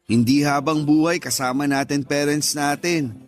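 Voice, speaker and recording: A man's voice: a little high, steady tone, normal speed, faint music behind, natural voice. An actor playing a teasing, pushy married best friend in his thirties, with a Filipino accent, coaxing and joking in a radio drama.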